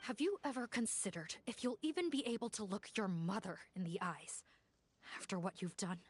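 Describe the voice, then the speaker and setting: A woman's voice: mid-range voice, normal speed, husky, lightly acted. A woman playing a stern accuser in a video game, speaking with firm, challenging reproach. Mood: reproachful. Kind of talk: dramatic game voice acting.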